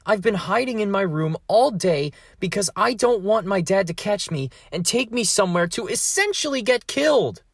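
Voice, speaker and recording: A man's voice: high voice, lively intonation, moderate pace, gravelly, hardly any pauses, no echo, clean studio sound, heavily acted. A man voicing an anxious, whiny thirteen-year-old boy in an amateur fandub, exasperated and hesitant.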